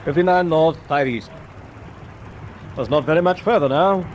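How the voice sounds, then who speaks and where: Man acting wartime British destroyer captain, radio drama, clipped measured orders, slightly high pitch, faint engine rumble. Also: a little mellow, natural voice. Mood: commanding.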